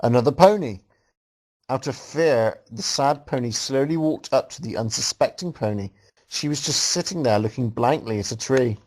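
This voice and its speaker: A man's voice: mid-range voice, normal speed, darkish tone, poor audio. A man reading a story aloud to a group as host, reacting casually and often amused as he goes. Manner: amused, breaking into laughter while reading.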